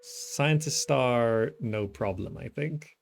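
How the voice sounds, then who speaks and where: A man's voice: medium pitch, takes it slowly, vocal fry. Man musing through gameplay over music. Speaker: gaming video host. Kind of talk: casual gameplay commentary, thinking aloud. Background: background game music.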